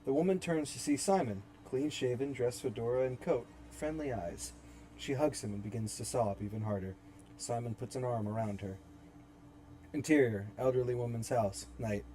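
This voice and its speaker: Man narrating a horror script, mid-pitched. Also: normal speed, dark tone, slight background noise. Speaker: narrator reading stage directions.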